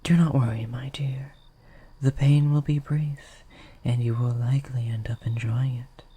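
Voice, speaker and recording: A woman acts out a courtly female vampire in an intimate audio roleplay. Her voice is very breathy and husky, often slipping into a whisper, with a dark, velvety tone. She speaks slowly and formally, gentle yet possessive as she coaxes. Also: rumbling low, steady tone, exaggerated voice. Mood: tender, hesitant. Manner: formal, archaic, slow, coaxing.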